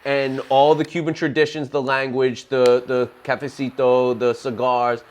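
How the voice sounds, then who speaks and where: Guy hosting the interview, mid-pitched voice, lots of uh-huhs and yeahs. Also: little pitch movement, moderate pace. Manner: encouraging, frequent backchannels. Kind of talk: casual interview.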